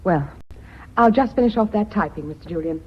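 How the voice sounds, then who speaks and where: An actress playing a poised, witty young British secretary in a radio drama, with an arch, polite manner. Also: female voice, lowish voice, lively intonation, brisk, background noise, natural voice. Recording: muffled old radio recording.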